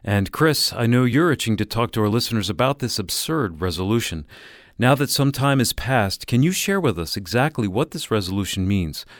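A man's voice: on the low side, animated, normal speed, no echo, studio quality. A man announcing and co-hosting a radio program in a scripted, orderly delivery.

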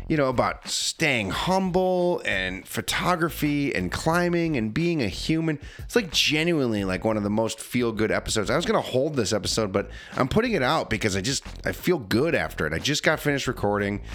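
A man's voice: medium pitch, big pitch swings, normal speed, a bit bright. A young man hosting a podcast, chatting casually and a little nasally, rambling with fillers. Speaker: podcast host.

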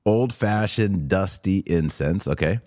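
A man with a low-pitched voice hosts a podcast. He comments casually and conversationally, thinking aloud as he goes. The sound is dry, with hardly any room in it. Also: animated, unhurried, a little mellow, no echo. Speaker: podcast host. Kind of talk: casual commentary on a video clip.

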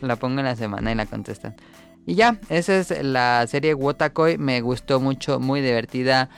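A man with a central Mexican accent, co-hosting a casual podcast chat and recommending shows in a relaxed way. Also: medium pitch, normal speed, gravelly, faint music behind. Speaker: podcast co-host.

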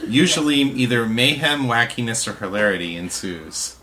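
Male, medium pitch with animated swings, casual round-table banter, noisy recording. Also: normal speed. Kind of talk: casual group chat. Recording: noisy live group recording.

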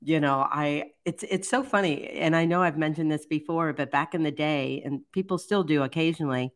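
Older lady w a really low voice, chatty, laughs a lot, live show host. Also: fairly quick. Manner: chatty, laughs easily, repeats words. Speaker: livestream co-host. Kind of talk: casual live chat.

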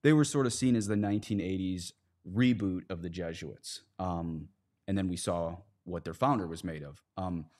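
Low-voiced man in casual discussion. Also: darkish tone. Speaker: priest and podcast guest.

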